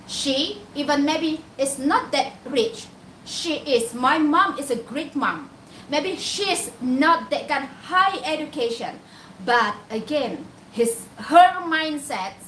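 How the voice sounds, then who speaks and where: High, lively Indonesian woman asking and answering. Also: echoey room, recorded from a distance, slight background noise, low-quality recording. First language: Javanese. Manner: poses questions and answers them herself. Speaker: live-stream host advising employers on hiring helpers. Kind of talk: casual live-stream talk.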